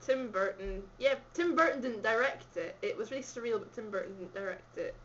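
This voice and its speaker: A woman's voice: a little high, animated, a little fast, muffled recording. Brief: rambling, giggly woman, light Scottish accent, faint hiss behind her. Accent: slight Scottish. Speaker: vlogger. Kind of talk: casual chat answering a questionnaire.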